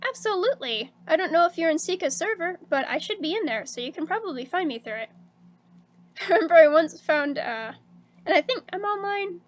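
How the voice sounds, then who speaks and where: A woman streaming casually, chatting with viewers and laughing often, with a slightly hoarse voice. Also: very high-pitched, expressive, a little fast. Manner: bubbly and chatty, laughs often, many fillers like "um" and "like". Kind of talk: casual stream chat reading out viewer messages.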